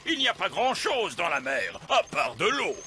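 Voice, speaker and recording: A man's voice: high-pitched, sing-song, moderate pace, heavy background noise. A man voicing a boastful, jolly, scheming elf pirate captain in a cartoon, with a theatrical delivery. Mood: eager. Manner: theatrical, bombastic, wheedling. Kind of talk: cartoon voice acting.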